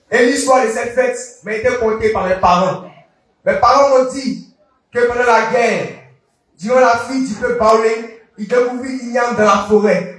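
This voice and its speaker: A man preaching a sermon in French, slow and declaiming, repeating the reading after the reader, line by line. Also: very high-pitched. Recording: echoey hall, voice from far off.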